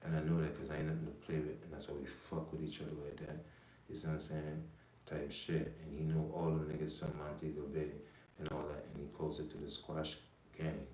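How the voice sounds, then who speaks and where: A man from Jamaica with a very deep, dark, flat voice rambles through a profane livestream monologue. He leans on slang and keeps checking in with his listeners. The sound is roomy and echoey, with a faint hiss underneath. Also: brisk. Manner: rambling, profane, streetwise, repeats "you know what I'm saying". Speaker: livestream host.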